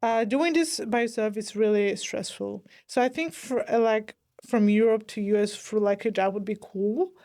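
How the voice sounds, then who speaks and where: A woman's voice: mid-range voice, moderate pace, hardly any pauses, mellow. A young woman from Greece, a guest on a podcast, talking casually with many fillers and restarts. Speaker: guest, former athlete.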